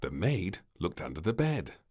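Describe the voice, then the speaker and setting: A man narrating a children's storybook in an expressive, theatrical and measured way. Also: low voice.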